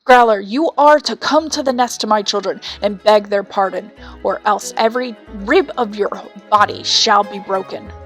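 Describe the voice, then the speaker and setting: A woman's voice: mid-range voice, normal speed, hardly any pauses, faint music behind, natural voice. A woman voicing a proud, protective willow-wren king in a storybook, speaking in a stern, regal manner. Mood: threatening. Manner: regal, stern, declaring threats and orders. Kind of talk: storybook character voice.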